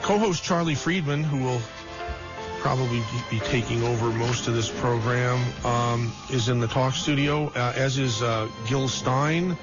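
Hesitant radio show host, lo-fi broadcast. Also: male voice, mid-range voice, moderate pace. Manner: hesitant, many fillers and restarts. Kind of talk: casual radio talk. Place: radio studio. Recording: lo-fi radio broadcast with faint music.

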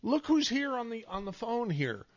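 A man's voice: very high-pitched, sing-song, moderate pace, dry recording. Rambling talk radio host man. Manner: rambling, jokey, restarts phrases often. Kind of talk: casual call-in radio chat. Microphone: studio microphone. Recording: dull, dry radio studio sound.

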